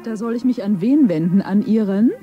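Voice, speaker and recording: An actress playing a brisk female interviewer in a radio drama, asking leading questions and prompting for the answer with clipped cues. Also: medium pitch, muffled recording, over music, lightly acted. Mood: brisk, coaxing.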